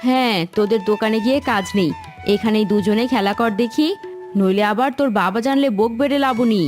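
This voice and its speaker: A woman voicing a supportive wife and caring mother, gentle and encouraging, in an animated moral story. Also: a little high, fairly quick, soft background music, natural voice.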